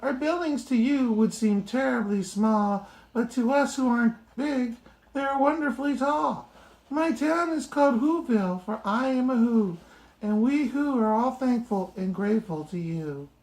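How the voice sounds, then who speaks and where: A man voicing a tiny, friendly male mayor of a speck-sized town, with a high piping little voice. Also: measured pace, darkish tone. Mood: grateful. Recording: roomy echo.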